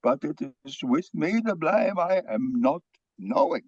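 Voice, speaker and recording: A man playing an excitable, easily overwhelmed psychiatrist in a comic play, stammering dramatically in broken English. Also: fairly high pitch, measured pace, slightly rough, dull audio. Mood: overcome. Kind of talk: comic stage play reading.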